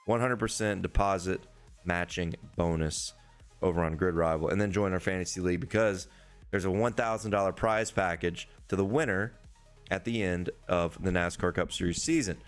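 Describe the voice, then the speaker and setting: Hoarse man with a low voice. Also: moderate pace, faint music behind. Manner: loose, rambling, profane. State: hoarse, losing his voice. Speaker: sports betting podcast host. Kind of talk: casual solo betting preview, swearing freely.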